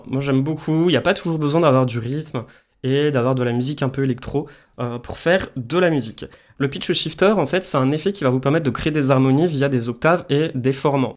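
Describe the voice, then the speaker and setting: A man explaining music software step by step in a casual tutorial style. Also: medium pitch. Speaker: music production tutorial presenter.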